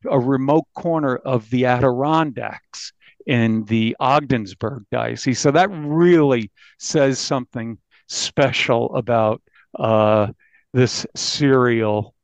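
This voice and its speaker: A man speaking as an investigative journalist in an interview, recounting a crime case in a halting but emphatic conversational way. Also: mid-range voice, dry recording. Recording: dull, band-limited audio.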